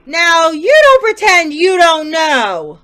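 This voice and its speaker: Radio-play police inspector, matter-of-fact and in charge, played by a woman, pitched very high. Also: very animated, slow pace, a bit bright, heavily acted. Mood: commanding. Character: brisk police inspector, confident. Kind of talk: community theater radio play.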